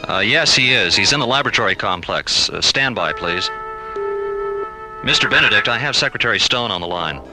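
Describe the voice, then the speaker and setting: An actor playing a polite, businesslike communications officer in a science fiction radio drama. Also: male voice, fairly low pitch, lively intonation, fairly quick, music in the background, natural voice, poor audio. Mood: brisk, courteous.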